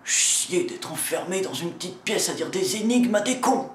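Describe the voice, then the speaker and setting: A man's voice: sits high, animated, normal speed, reverberant, slight background noise. A man doing a cranky old lighthouse riddler. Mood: grumbling. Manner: grumbling, cursing, scolding the viewer. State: sore throat, coughing. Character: grumpy old male riddle-keeper of a lighthouse, foul-mouthed. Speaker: channel host announcing meetups. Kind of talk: comic character sketch.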